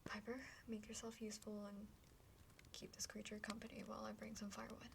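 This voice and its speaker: A woman voicing a haughty, blunt older half-dragon sister in a breathy whispered ASMR roleplay. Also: mid-range voice, monotone, fast, lightly acted. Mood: exasperated, reassuring. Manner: condescending, bluntly spoken. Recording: clearly noisy background with clicks.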